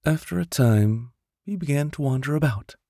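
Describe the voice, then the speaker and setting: A man's voice: on the high side, lively intonation, normal speed, warm tone, no room sound. A man narrating a bedtime story expressively and theatrically, close on a studio microphone. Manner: theatrical, with whispers and sound-effect voices. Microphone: close studio condenser microphone.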